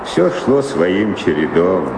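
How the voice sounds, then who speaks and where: An actor playing a middle-aged, long-serving servant in a radio play, dignified and orderly, grumbling deliberately. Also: male voice, low-pitched, sing-song, full character voice. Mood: indignant, grumbling. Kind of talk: theatrical radio drama acting. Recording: echoey hall, long reverb, background noise.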